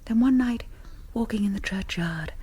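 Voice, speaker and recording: Breathy, whispery vampire countess played by a woman. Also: mid-range voice, normal speed, warm tone, natural voice. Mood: hungry, entranced. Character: languid, hungry female vampire countess. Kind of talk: radio drama confession.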